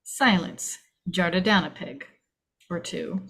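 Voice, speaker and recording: A woman's voice: on the low side, slow pace, gravelly, a bit bright, slightly rough. A woman reading stage directions as dramatic screenplay narration, in clipped, punchy phrases.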